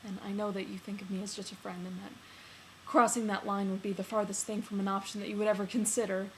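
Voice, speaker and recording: A woman performing a dramatic monologue as a lovesick man confessing love to a friend, earnest and halting, with emphatic swells. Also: medium pitch, little pitch movement, lightly acted, low-quality recording. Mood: earnest confession. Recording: noticeable background noise.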